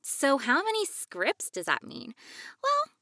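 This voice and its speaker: High-pitched woman hosting a podcast. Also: huge pitch swings, slow pace, pushed and bright, dry recording. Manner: reassuring, conversational. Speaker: podcast host. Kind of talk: conversational advice podcast.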